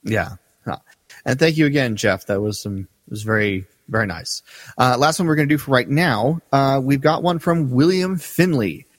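A man co-hosting a fan podcast, joking in a relaxed way and reading listener letters aloud. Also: mid-range voice, normal speed, long reverb tail. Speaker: podcast co-host. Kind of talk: casual podcast chat.

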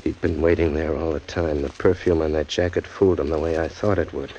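A man's voice: extremely low pitch, fairly even intonation, brisk, warm tone, dull audio, slight background noise. An actor playing a hard-boiled male killer in a 1940s radio drama, tough and clipped, narrating his own crimes. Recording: old radio recording with music.

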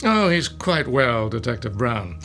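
A man playing a gloating, smug mad scientist villain in a radio drama, with soft laughs. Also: mid-range voice, very animated, unhurried, soft background music.

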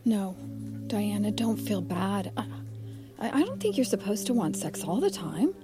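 A woman playing an excitable, giggly married girlfriend in an audio drama, with a gossipy, animated delivery. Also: medium pitch, moderate pace, lightly acted. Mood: soothing, earnest. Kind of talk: naturalistic audio drama dialogue. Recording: music playing behind the voice.

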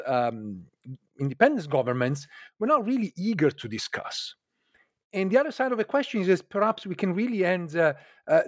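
A male interviewer with a higher, lively voice asks a long question. He halts and restarts often. The sound is dry and close. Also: no room sound. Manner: halting, stumbling over phrasing.

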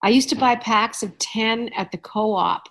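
Chatty woman host telling animated stories. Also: on the high side, measured pace. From Canada. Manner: chatty storyteller, animated asides and laughs. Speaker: podcast host. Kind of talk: casual chat.

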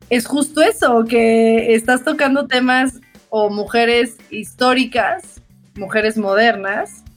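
A woman with a Mexican accent hosting an interview podcast, talking casually with many fillers and restarts. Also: a little high. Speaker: podcast host.

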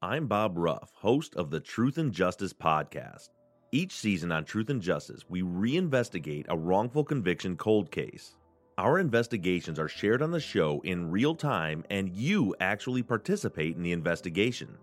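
A man's voice: low voice, big pitch swings, moderate pace. A man reading a scripted promotion for his podcast in an earnest, emphatic way.

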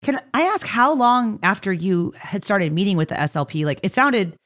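A woman's voice: mid-range voice, very animated, moderate pace, fairly bright tone. A woman hosting a podcast interview, asking detailed, explanatory questions in a conversational way.

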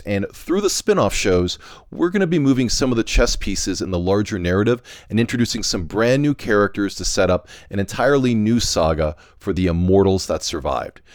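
Animated low-voiced man announcing. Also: no room sound, studio quality. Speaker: podcast creator and host. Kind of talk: upbeat scripted announcement.

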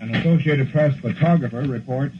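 A muffled 1960s broadcast recording with light noise. A man of average pitch relays breaking news as a live anchor. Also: fairly quick. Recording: dull, lightly noisy 1960s off-air recording.

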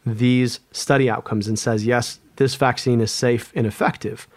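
A man's voice: medium pitch, steady tone, moderate pace, darkish tone, dry recording. A man, an epidemiologist guest, speaking earnestly in an interview, often restarting and repeating words. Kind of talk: conversational interview.

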